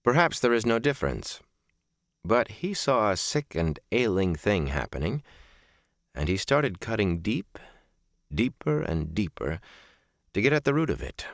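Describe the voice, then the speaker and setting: A man reading a first-person literary book as a narrator, with measured, deliberate phrasing and emphatic stresses. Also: on the low side, sing-song, no echo, clean studio sound. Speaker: audiobook narrator. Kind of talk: scripted audiobook narration of a reflective first-person memoir novel.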